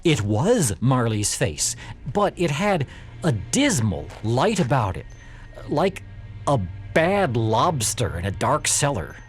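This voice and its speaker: Animated male storyteller over music. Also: mid-range voice. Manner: measured, emphatic, expressive storytelling. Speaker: narrator. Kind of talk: dramatic storybook narration.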